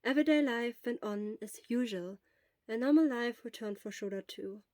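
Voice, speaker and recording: A woman's voice: on the high side, moderate pace, darkish tone, dry recording, studio quality. A woman reading a scripted story aloud as a narrator. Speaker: podfic reader.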